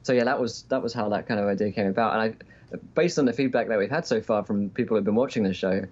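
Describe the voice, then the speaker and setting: A man's voice: fairly low pitch, quick pace, a little mellow. A man calling in as an interview guest, explaining things in casual conversation over an internet call. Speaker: interview guest, game master. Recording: dull lo-fi call audio.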